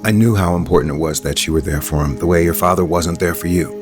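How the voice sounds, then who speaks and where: An actor playing a reserved, gentle man in his thirties in an audio soap opera, hesitant and polite. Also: low-pitched, steady tone, fast talker, music in the background, close to an everyday voice. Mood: tender. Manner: hesitant, soft-hearted, polite. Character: reserved, gentle former sweetheart, quietly longing architect.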